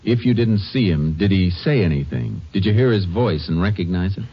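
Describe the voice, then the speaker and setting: A calm Texas Ranger with a very low voice, terse and methodical. He speaks in the measured style of old radio crime drama. The sound is dull, like a vintage broadcast. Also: husky. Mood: firm, probing. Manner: terse, matter-of-fact, measured questioning. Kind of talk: 1950s radio drama acting and narration.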